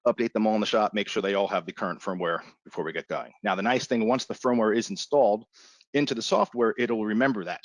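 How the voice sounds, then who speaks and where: A man with a fairly low voice teaches a piece of software step by step. His delivery is informal and explanatory, like an instructor walking a class through it. The sound is dry and close to the mic. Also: no room sound. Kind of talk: software tutorial, live walkthrough.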